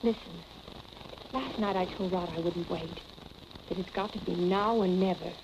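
Actress as a scheming forties femme fatale, hissy soundtrack. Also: female voice, on the low side, normal speed, lo-fi sound, natural voice. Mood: calculating. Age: young adult. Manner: cool, coaxing, condescending. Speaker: film actor. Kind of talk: 1940s film acting. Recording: noisy vintage film soundtrack.

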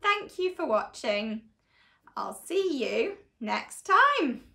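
A young woman reading a picture book aloud to small children in an animated, expressive, deliberate storytelling manner. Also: high-pitched, vocal fry. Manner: exaggerated, expressive, slow and deliberate delivery to children. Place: small living room, on a sofa indoors.

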